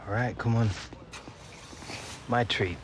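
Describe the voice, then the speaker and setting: A man's voice: medium pitch, fairly even intonation, slow, background noise, lightly acted. A young man with a British accent playing a guarded professional footballer in a naturalistic video game cutscene. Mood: friendly, low-key. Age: young adult.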